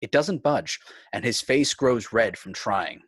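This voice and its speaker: A man serves as narrator of a screenplay. His voice is medium in pitch and recorded dry, reading scene directions as scripted narration. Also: expressive, measured pace, a bit bright, slightly rough, no room sound. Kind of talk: scripted narration at a table read. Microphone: video call.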